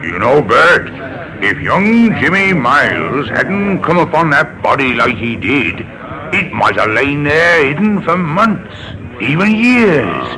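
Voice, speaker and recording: An actor playing a plain-spoken, musing village local in an old-time radio drama. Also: male voice, on the high side, big pitch swings, takes it slowly, a crowd in the background. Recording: noisy vintage radio recording.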